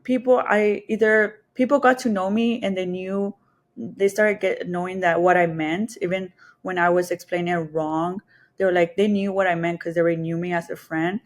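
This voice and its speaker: A woman's voice: fairly low pitch, fairly even intonation, moderate pace. A woman from the Texas and Mexico border with a Mexican Spanish accent, telling personal stories casually and candidly as a podcast guest, thinking aloud as she goes. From Texas and Mexico border region.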